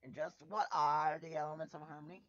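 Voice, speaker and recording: A man's voice: fairly high pitch, flat delivery, fairly quick, fairly bright tone, dry recording, lo-fi sound, voice pushed up, lightly acted. A man voicing a brash female pegasus pony in a story reading, confrontational and accusing.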